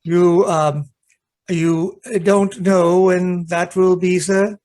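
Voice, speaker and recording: Slow, hesitant manservant played by a man. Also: sits high, level tone, a little mellow. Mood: deferential, nervous. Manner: hesitant, polite servant's delivery. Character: deferential, hesitant, secretly treacherous male valet. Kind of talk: amateur radio play acting.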